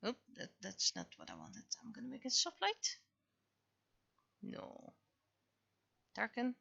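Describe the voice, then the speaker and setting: A woman's voice: a little high, wildly swooping pitch, unhurried, creaky, bright, forceful tone, husky. A woman livestreamer muttering short, casual remarks to herself as she works on digital art. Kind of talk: casual livestream commentary, thinking aloud while drawing.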